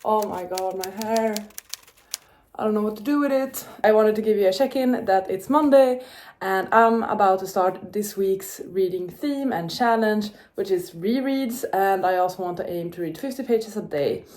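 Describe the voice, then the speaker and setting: A woman's voice: mid-range voice, moderate pace, darkish tone. A young woman with Czech as her native language, chatting upbeat to the camera about books, with fillers and self-corrections. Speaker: book vlogger. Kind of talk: casual vlog chat to camera.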